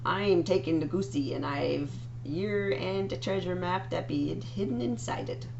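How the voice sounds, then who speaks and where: A woman's voice: fairly low pitch, unhurried, gravelly, lo-fi sound, background noise. Woman putting on a snarling pirate wolf villain. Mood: menacing. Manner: pirate talk with har-hars and args.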